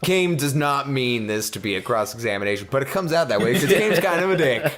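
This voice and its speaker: A man's voice: medium pitch, lively intonation, brisk, a bit bright. A man hosting a let's play, reading game text aloud in a jokey, mock-dramatic narration.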